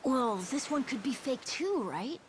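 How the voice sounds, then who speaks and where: A curious young crew kid for a video game. The voice is very high, earnest and questioning, with informal phrasing and faint ambient noise behind it. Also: female voice, very animated, measured pace. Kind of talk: video game cutscene acting.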